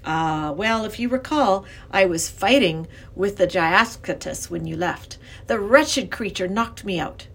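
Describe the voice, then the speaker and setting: A woman's voice: mid-range voice, measured pace, gravelly, few pauses, fairly bright tone, husky, exaggerated voice. A woman voicing a kindly, anxious older male professor with genteel, old-fashioned phrasing, in an acted children's storybook reading. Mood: explanatory.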